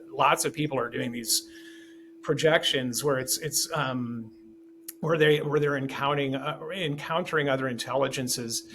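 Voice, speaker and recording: A man rambles through his thoughts as a podcast guest, circling back and restarting often. His pitch sits in an ordinary middle range. Plenty of steady noise runs under the recording. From Taiwan. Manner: rambling, many restarts and fillers. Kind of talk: casual conversation.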